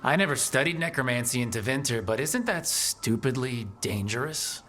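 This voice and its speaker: An actor playing a confident young hero in a video game, with a casual, wry and reassuring manner. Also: male voice, mid-range voice, expressive, normal speed, a bit bright, soft background music, natural voice.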